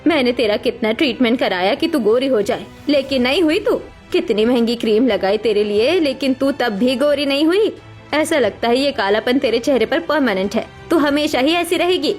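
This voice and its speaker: A woman's voice: sits high, expressive, normal speed. A woman voicing a harsh, status-obsessed middle-aged mother in a cartoon story, nagging with dramatic scorn. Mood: bitter scolding. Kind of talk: Hindi cartoon story voice acting. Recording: music behind the voice.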